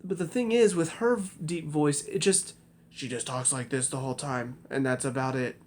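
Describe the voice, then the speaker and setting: A man's voice: fairly high pitch, fairly even intonation, moderate pace, a little hiss or hum. A man giving a casual spoken review of a TV episode, critical and rambling, often restarting his sentences.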